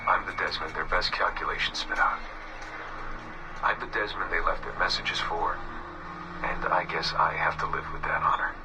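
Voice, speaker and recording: Low, distant, weary young man, acted by a man. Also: steady tone, background noise, lightly acted. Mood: brooding, resigned. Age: young adult. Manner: halting, subdued, reflective. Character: weary, haunted young man recording a confession. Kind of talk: recorded confessional audio diary, game voice acting. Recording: distant game audio with music behind. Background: music.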